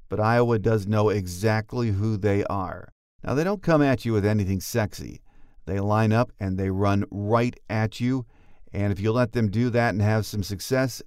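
A man's voice: on the low side, a little mellow, dry recording, clean studio sound. A man hosting a sports podcast, delivering scripted commentary as the show's presenter. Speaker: podcast host.

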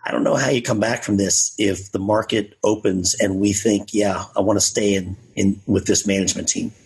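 Husky man, measured and reflective. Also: on the low side, fairly even intonation, darkish tone, low-quality recording. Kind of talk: measured conversational commentary.

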